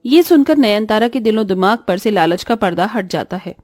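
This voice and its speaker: A woman's voice: mid-range voice, animated, normal speed, a little mellow, no room sound, studio quality, faint music behind. A woman narrating a cartoon story in a scripted, dramatic storytelling style.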